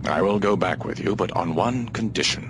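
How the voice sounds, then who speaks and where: An actor playing a wary, commanding male scientist in hiding, with a suspicious, imperious manner and a gravelly voice. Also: sits low, brisk, background noise, close to an everyday voice. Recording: game cutscene with music.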